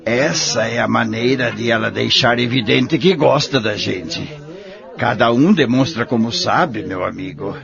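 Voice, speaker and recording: An actor playing a kindly, patient old man in an audio drama, raspy and gravelly, gently persuading and moralizing. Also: mid-range voice, unhurried, lo-fi sound, over music. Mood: gently advising. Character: kindly, patient old spiritist friend, an elderly husband.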